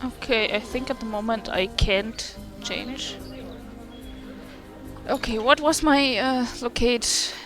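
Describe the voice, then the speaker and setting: A woman gamer with a German accent, not a native English speaker, talks casually over her gameplay. Her delivery is slow, halting and self-deprecating, often searching for the right word. Soft music sits faintly in the background. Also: a little high. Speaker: gaming video creator and streamer. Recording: faint game music behind.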